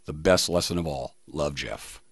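A man hosting a radio talk show, reading aloud with pauses for emphasis in a studio, close to the microphone. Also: rumbling low, breathy edge, dry recording. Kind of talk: reading listener tributes and quotes on a talk show.

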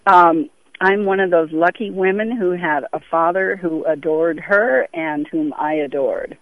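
A woman's voice: fairly low pitch, unhurried, dry recording. A woman in her sixties from Europe talking earnestly and conversationally as a caller to a radio show over the telephone. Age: 60s. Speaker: phone-in caller. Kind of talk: earnest conversational phone call. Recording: very tinny, band-limited phone line.

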